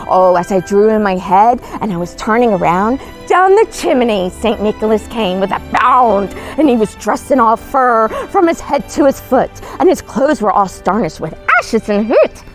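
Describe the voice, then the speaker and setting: A woman plays a kindly Christmas storyteller with a high, very lively voice. She reads a storybook to children full of wonder and exclamation, with music playing behind her. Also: poor audio. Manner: exclamatory, wondering, gasps and oohs. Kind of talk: animated storybook reading to children.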